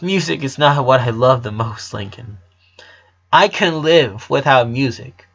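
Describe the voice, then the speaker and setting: A man's voice: mid-range voice, animated, measured pace, fairly bright tone, highs cut off. A man voicing an anguished teenage girl in an audio story, hurt, self-blaming and emphatic. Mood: tender, pained. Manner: pained, emphatic, pleading.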